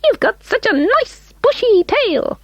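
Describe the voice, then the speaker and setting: A woman voicing a plump little elfin man with a high little voice, sly and chuckling in a storybook reading. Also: very animated, no room sound, dull audio, heavily acted. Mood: teasing. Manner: teasing, quick chuckling.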